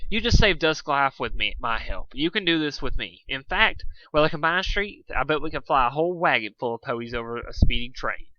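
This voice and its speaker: A man's voice: medium pitch, lively intonation, fairly quick, hardly any pauses, sharp tone, dry recording, lo-fi sound. A man voicing a brash, confident female pony in a story reading, pushy and urging.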